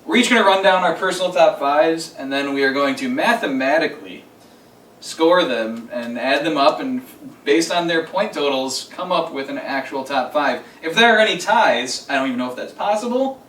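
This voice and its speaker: An echoey recording, picked up from across the room, of a man hosting a casual group chat. His voice sits a bit high and he talks in a jokey, informal way as he runs the countdown. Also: normal speed, fairly bright tone, slight background noise. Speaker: podcast host. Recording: echoey room, distant microphone.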